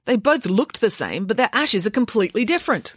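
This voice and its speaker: A woman's voice: on the high side, sing-song, brisk, husky, no room sound, clean studio sound, pitched above the performer's own voice. A woman voicing an exasperated, pompous male school headmaster in a storybook, dryly authoritative.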